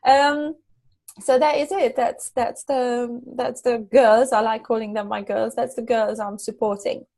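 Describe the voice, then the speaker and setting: A middle-aged woman from Hungary with a Hungarian accent, talking earnestly as a guest business coach, halting and restarting often. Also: on the high side, flat delivery, mellow. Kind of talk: casual interview chat.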